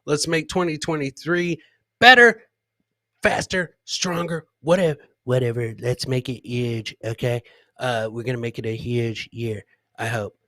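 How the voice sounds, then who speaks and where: A man hosts a live comedy stream in an informal, rambling way. His medium-pitched voice is recorded close and dry, as he chats casually with the people watching. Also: unhurried, sharp tone, no room sound. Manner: informal, rambling, addresses viewers as guys and man. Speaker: live comedy podcast host. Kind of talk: casual live-stream chat, riffing on clips and reading viewer comments.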